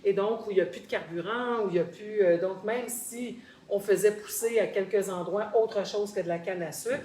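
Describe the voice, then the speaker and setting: A woman's voice: medium pitch, normal speed, mellow. A woman with a Québécois French accent tells of her experiences conversationally, articulate and explanatory, repeating words for emphasis. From Quebec, Canada. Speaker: guest sharing personal experience. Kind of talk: conversational storytelling in a group discussion. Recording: roomy echo, faint background noise.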